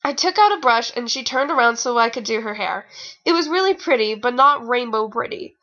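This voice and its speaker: A young woman with a high, bright voice on a slightly dull home recording. She reads fan fiction casually and comments in a snarky, rambling, informal way. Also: lively intonation, brisk. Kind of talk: casual read-aloud with sarcastic commentary.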